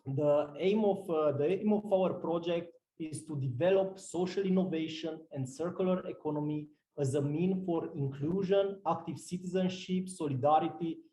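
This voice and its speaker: A man, a project manager, presenting his project with halting speech and many fillers. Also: on the high side, mellow, echoey room, poor audio. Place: seminar room.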